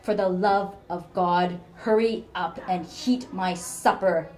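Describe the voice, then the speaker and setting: A woman voicing a cruel, bedridden old mother who snaps and blames her daughter in a shrill, scolding voice. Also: unhurried, darkish tone, close to an everyday voice. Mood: bitter.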